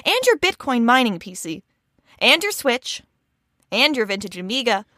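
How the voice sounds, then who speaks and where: A woman playing a flustered, chatty and polite agent in a comedy audio drama, expressive and a little exasperated. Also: on the high side, normal speed, no room sound, studio quality. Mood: tentative.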